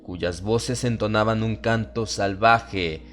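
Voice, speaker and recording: A man narrating a dramatized horror audiobook in an ominous, theatrical, measured way, drawing out his phrases. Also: lowish voice, fairly even intonation, a bit bright. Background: atmospheric music bed.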